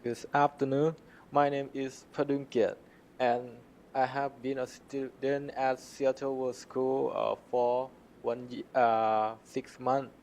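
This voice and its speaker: A man's voice: mid-range voice, little pitch movement, slow pace, darkish tone, a little hiss or hum, poor audio. A male student from Thailand giving a short, hesitant self-introduction and correcting himself as he goes, a little away from the mic.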